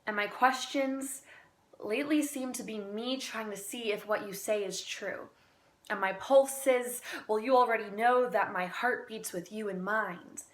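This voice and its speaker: A woman amateur poet recites spoken-word slam poetry in an earnest, deliberate manner with dramatic pauses. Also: medium pitch.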